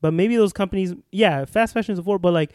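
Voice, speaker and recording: Young man, casual co-host, mellow and slightly high, earnest and hedging, dry recording. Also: very fast, clean tone, no echo. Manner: earnest, restarts and hedges with "like" and "I feel like". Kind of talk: casual chat.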